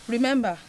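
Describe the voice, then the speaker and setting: A woman's voice: on the high side, a little hiss or hum. A woman playing a devout, concerned mother in an audio drama, earnest and admonishing, with a Nigerian accent. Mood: tender. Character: devout, concerned mother counselling her daughter.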